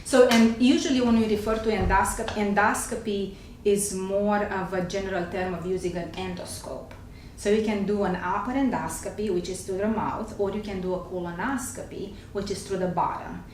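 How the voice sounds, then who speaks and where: A woman's voice: medium pitch, fairly even intonation, normal speed, a little mellow. A middle-aged woman from Romania with a Romanian accent, a gastroenterologist guest on a talk show, explaining carefully with hesitant fillers. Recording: echoey room, distant mic, faint noise.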